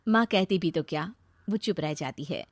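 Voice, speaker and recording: A woman's voice: fairly low pitch, lively intonation, normal speed, dry recording, clean studio sound. A woman narrating a scripted, dramatised story as its storyteller.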